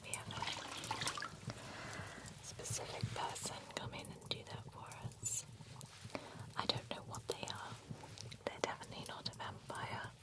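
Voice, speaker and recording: Woman whispering as a polite vampire spa attendant. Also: natural voice. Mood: soft, courteous. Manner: gently chatty, courteous, slow and soft. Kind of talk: ASMR roleplay.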